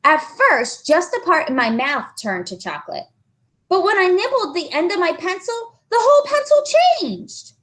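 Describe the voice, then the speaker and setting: A woman plays an anxious young schoolboy in a storybook. The voice climbs high and swings widely as he earnestly pleads to be believed, protesting with great emphasis. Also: big pitch swings, moderate pace, fairly bright tone, full character voice. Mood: protesting, self-pitying.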